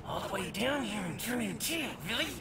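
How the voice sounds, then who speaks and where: High squawky wisecracking demon bird. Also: male voice, a little fast, background noise. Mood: mocking, pushy. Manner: mouthy, mocking, excitable. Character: wisecracking demonic talking bird sidekick, brash and frantic. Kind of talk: video game voice acting. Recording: loud game sound effects behind.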